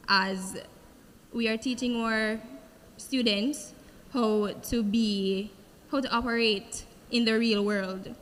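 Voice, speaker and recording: A young Jamaican woman, a pageant contestant, giving a slow, earnest answer on stage. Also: fairly high pitch, slight background noise. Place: large event hall. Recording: long hall reverb from a stage mic.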